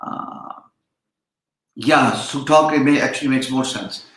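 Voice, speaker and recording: A man's voice: medium pitch, takes it slowly, fairly bright tone. Chatty man lecturing in an echoey room. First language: Urdu. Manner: rambling, chatty, many fillers and restarts. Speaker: online freelancing instructor. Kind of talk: casual live-stream lecture.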